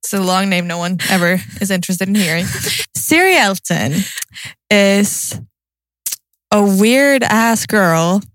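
A woman's voice: medium pitch, expressive, a little slow, fairly bright tone, no echo. A young woman with a Norwegian accent, chatting as a podcast co-host in an informal, sweary, self-deprecating way. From Norway. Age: young adult. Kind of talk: casual podcast chat.

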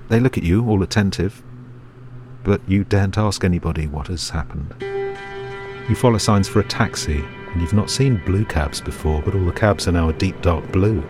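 Low-voiced British man narrating audio drama. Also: moderate pace. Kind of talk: second-person scripted dramatic narration. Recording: music bed behind the voice. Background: music.

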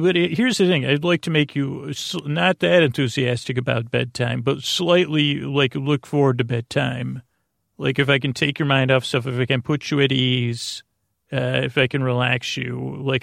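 A man hosting a bedtime podcast in a casual, rambling monologue, halting and drifting off into tangents, with a creaky voice. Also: mid-range voice, fairly bright tone, no echo, clean studio sound. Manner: meandering and halting, trails off into tangents. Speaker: podcast host.

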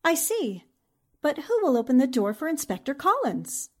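A woman's voice: high-pitched, sing-song, moderate pace. A woman acting a bold, inquisitive newspaper reporter in a 1940s-style radio drama, resolute and questioning. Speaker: actor in an audio drama.

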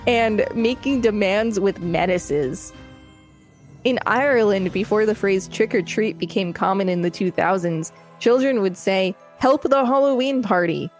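A woman's voice: mid-range voice, measured pace, soft background music. A woman podcast co-host reading an article aloud, with casual asides.

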